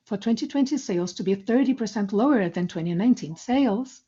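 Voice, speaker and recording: A woman delivering a scripted corporate financial presentation in a formal, measured manner. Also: medium pitch, dark tone. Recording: dull, lo-fi conference call audio.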